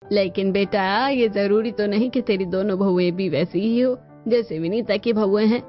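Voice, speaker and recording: A woman's voice: mid-range voice, moderate pace, close to an everyday voice. A woman voicing a cautious elderly grandmother and mother-in-law in a cartoon story. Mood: cautioning. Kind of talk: Hindi cartoon story dialogue. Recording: dull lo-fi audio with music.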